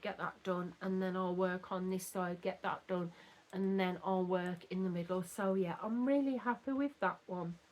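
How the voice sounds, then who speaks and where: A woman with a slightly croaky voice, chatting in a rambling way about her cross-stitch progress at home. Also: mid-range voice, poor audio. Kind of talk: casual chat showing craft progress. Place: at home at a table.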